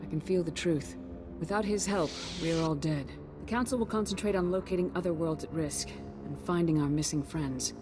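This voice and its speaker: Low-voiced, composed Jedi master played by a woman. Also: brisk, natural voice. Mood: grave, earnest. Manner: earnest, calm authority. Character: wise, composed female Jedi council master. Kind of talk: video game cutscene acting. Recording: loud music behind the voice.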